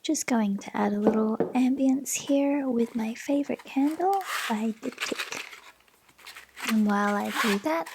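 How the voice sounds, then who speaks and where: Slow, soft-spoken Australian woman. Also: a little high, vocal fry, background noise. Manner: gentle, often half-whispered, unhurried. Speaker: planner vlogger. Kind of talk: soft-spoken planner flip-through. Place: home desk.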